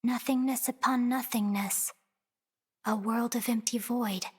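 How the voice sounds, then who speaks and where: A woman's voice: fairly high pitch, steady tone, measured pace, a little mellow, husky. A woman playing a serious, wary young woman in a video game, speaking formally and with suspicion. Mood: grave, suspicious.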